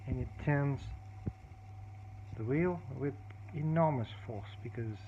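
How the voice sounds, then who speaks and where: Guy fixing an old tape deck, lots of uhs, mid-pitched voice, hissy clicky home recording. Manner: halting, explanatory, with many fillers and restarts. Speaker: hobbyist repairer. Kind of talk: casual repair demonstration. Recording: dull, lo-fi audio with clear background noise and clicks.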